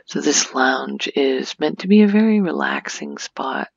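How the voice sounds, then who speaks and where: Breathy, hushed woman, slow ASMR delivery. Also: extremely low pitch, lively intonation, dry recording, lo-fi sound. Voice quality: soft, breathy, often near-whispered. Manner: gentle, unhurried, hushed. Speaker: video creator giving a game tour. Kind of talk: soft-spoken ASMR game tour narration.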